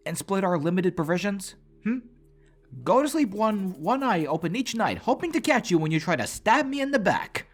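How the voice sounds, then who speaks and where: A man's voice: high voice, sing-song, moderate pace, pitched above the performer's own voice. A man voicing a cynical, threatening unicorn pony bandit with a sarcastic, taunting delivery in an audiobook. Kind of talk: audiobook character voice. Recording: soundtrack music under the voice.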